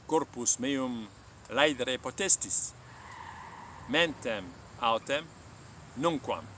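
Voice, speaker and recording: A man voicing a serene, unshakeable sage from a story, declaiming slowly and solemnly. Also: mid-range voice, bright, forceful tone, a little hiss or hum. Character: serene, unshakeable male sage meditating under a tree.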